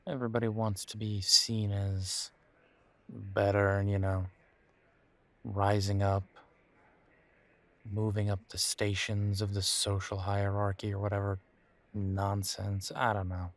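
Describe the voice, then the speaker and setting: A man playing a weary, wry werewolf boyfriend in an intimate audio roleplay, talking casually with hesitant, trailing pauses. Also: on the low side, flat delivery. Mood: weary, bitter. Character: weary, wry male werewolf boyfriend estranged from his family. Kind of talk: intimate roleplay audio drama, conversational monologue.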